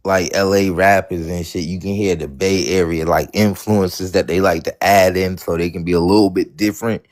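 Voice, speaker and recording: Deep-voiced American man, casual and slangy. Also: fairly even intonation, normal speed, a little mellow. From Atlanta, born in New York. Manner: emphatic, informal, swearing.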